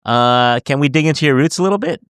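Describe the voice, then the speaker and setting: A man's voice: a little high, lively intonation, fast talker, fairly bright tone, clear, resonant tone, no room sound, studio quality. A man hosting a podcast interview, chatty and giggly, asking long rambling questions. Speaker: podcast host. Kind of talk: casual interview.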